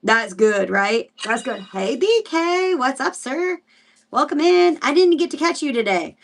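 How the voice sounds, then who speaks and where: A woman's voice: high-pitched, sing-song, measured pace, distant mic. A woman hosting a casual livestream Bible study, chatty and rambling, greeting viewers by name as she teaches. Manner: chatty and rambling, with frequent fillers and restarts.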